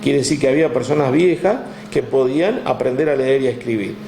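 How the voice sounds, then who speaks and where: A man with an Argentine accent, telling history in a relaxed chat, with many "este" fillers, reading out figures from a text. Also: medium pitch, fairly quick, gravelly, echoey room, slight background noise. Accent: Argentine Spanish. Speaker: guest storyteller. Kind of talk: conversational history talk.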